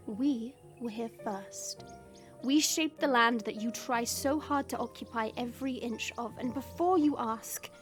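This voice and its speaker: Woman playing a proud, high-voiced dragon princess. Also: natural voice. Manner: formal, haughty, lecturing. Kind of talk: audio roleplay acting.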